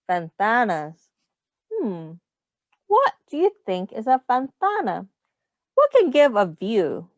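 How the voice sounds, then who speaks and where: A woman teacher reading a picture book aloud to young children, explaining things in simple phrases and pausing to ask questions. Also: mid-range voice, no room sound, muffled recording.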